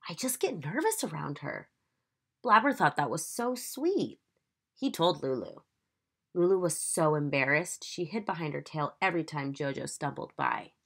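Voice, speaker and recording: A woman teacher reading a children's picture book aloud in an expressive, emphatic, instructive storytelling manner. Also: low voice.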